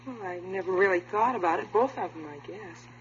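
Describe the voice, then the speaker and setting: An actress playing a polite, hesitant young office worker being questioned in a 1950s radio police drama. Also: female voice, fairly low pitch, brisk, slight background noise, lightly acted. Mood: defensive. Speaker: supporting actor. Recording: muffled, humming vintage radio recording.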